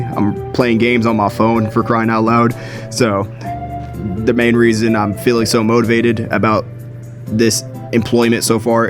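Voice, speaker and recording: A young man in his late teens telling a story in a chatty, slangy, self-deprecating way. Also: fairly low pitch. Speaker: video creator. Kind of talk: casual storytime vlog. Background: music.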